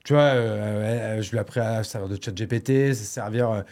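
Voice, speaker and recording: A man's voice: fairly low pitch, quick pace, a little mellow. A man hosting a podcast in casual, rambling talk, slangy and self-mocking, recorded in a studio set. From born in Paris, living in Bordeaux. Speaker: podcast host.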